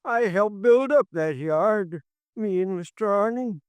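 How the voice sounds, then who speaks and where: Casting: an old yard hand, high and cracked, drawling slowly, dry studio. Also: male voice, animated, clean tone, no room sound. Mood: grieving. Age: older. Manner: slow rural speech, halting and grieving. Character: frightened old male lumberyard hand, loyal, vengeful. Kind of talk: 1950s radio drama acting.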